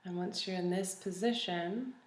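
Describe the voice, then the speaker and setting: A woman leading a guided body scan meditation in a calm, gentle, soothing manner with long pauses. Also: on the low side. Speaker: meditation teacher. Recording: roomy, echoey recording.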